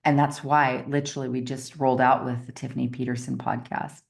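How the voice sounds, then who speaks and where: A woman coach speaks to her group in a strikingly low register with a gravelly edge to her voice. She tells a personal story in a chatty, motivational style. She keeps checking in with her listeners as she goes. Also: flat delivery, brisk. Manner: chatty storytelling, often checks in with the audience with "right?". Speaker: success coach.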